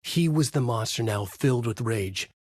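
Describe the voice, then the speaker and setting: A man's voice: mid-range voice, lively intonation, moderate pace, a little mellow, slightly rough, no room sound. A middle-aged man narrating a video game as a brooding writer, in a noir-like inner monologue. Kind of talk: hard-boiled game narration.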